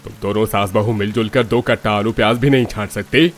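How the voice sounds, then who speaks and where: A man plays an older, plain-spoken father-in-law in a cartoon family tale. The voice is on the low side, with light music behind it. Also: fairly even intonation, brisk, close to an everyday voice. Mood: grumbling. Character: grumbling father-in-law, poor older vegetable seller. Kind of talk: Hindi cartoon story acting.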